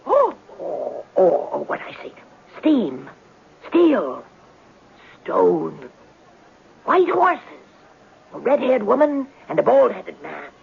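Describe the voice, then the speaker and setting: A man playing an eccentric, greedy palmist woman in a radio drama, with halting, melodramatic mystical pronouncements. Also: high-pitched, huge pitch swings, exaggerated voice. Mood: entranced, dramatic. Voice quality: creaky. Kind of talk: 1970s radio drama acting. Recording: dull, slightly noisy 1970s radio recording.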